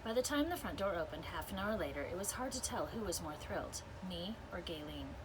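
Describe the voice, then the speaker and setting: A woman's voice: fairly low pitch, lively intonation, brisk. A woman reading a children's story aloud expressively, as a teacher does for students. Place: inside an RV parked in a backyard. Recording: clear ambient noise.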